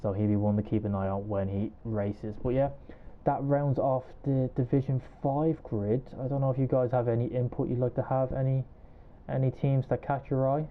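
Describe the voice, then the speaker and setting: A man's voice: medium pitch, level tone, normal speed. A man with an Irish accent hosting a casual podcast, chatty and hedging as he runs through a list. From Ireland. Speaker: podcast host. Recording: tinny phone-like call audio.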